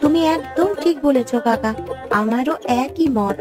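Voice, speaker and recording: A woman's voice: high voice, unhurried. A woman voicing an earnest, hopeful and polite young village girl in a cartoon. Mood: pleased. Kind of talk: cartoon voice acting. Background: instrumental music.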